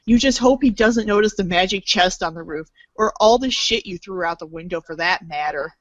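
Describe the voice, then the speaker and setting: A woman's voice: mid-range voice, fairly quick, dry recording, poor audio. A woman reading scripted storybook-style narration aloud as a theatrical narrator.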